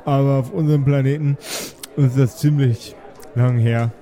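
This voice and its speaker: A man playing a courteous, matter-of-fact cook with metal limbs, formal and measured, in a tabletop audio game. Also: medium pitch, fairly even intonation, mellow, lightly acted.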